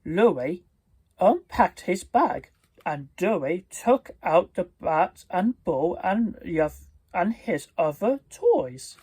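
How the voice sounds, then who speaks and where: A husky voice reads a children's storybook aloud in a halting, careful way. The pitch moves around a lot while the pace stays on the slow side. The delivery stumbles now and then. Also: very animated, a little mellow, no room sound. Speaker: storybook reader and video host. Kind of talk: read-aloud storybook narration.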